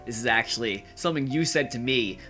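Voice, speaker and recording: A young man answering casually as a podcast guest, earnest and halting, repeating words. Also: mid-range voice, pushed and bright, faint music behind. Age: young adult.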